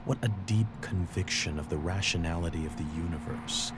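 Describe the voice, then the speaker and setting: An actor reading philosophical quotations in a deliberate, earnest and reflective way, as an audio log in a video game. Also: male voice, low-pitched, background noise, natural voice. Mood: solemn, reflective. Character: solemn, reflective male reader of philosophical quotations. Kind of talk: measured literary quotation reading.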